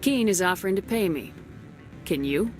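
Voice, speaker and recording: A woman's voice: on the low side, animated, moderate pace, soft background music, natural voice. A woman playing a tough military commander in a video game, speaking firmly and directly with blunt questions. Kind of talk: video game dialogue acting.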